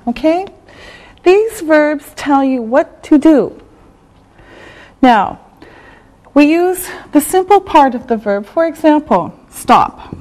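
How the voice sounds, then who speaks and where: A high-voiced woman teaches a beginner English lesson. She speaks very slowly and carefully, repeats each phrase, and checks understanding with a frequent "okay?". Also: lively intonation, darkish tone. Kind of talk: slow, deliberate classroom teaching.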